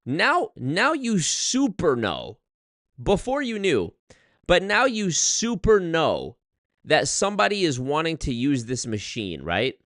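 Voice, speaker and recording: A man telling a story on his livestream in an animated, exasperated way, swearing often and reading chat. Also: on the high side, slow pace, no echo, studio quality. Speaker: streamer. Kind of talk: casual livestream rant.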